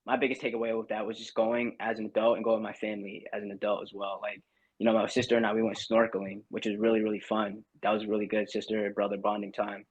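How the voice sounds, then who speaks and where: A man's voice: fairly low pitch, quick pace, slightly rough, rough recording. A young man from Barbados, a podcast guest, chatting casually and loosely with lots of fillers and restarts. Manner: relaxed, rambling with many fillers. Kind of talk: casual chat.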